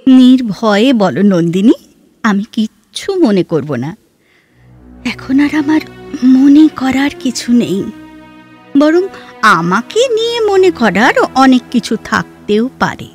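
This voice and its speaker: A woman playing a reserved, bookish, introspective woman in an audio drama, speaking in a measured, hesitant and expressive way. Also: fairly high pitch. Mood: calm, reflective. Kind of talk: Bengali audio drama dialogue. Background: background music.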